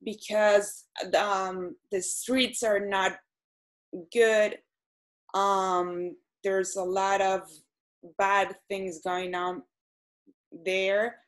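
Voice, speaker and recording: Woman, 30s, interview guest, hesitant, very slow pace. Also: medium pitch, little pitch movement. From Venezuela. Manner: hesitant, frequent ums and restarts. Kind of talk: casual interview answers.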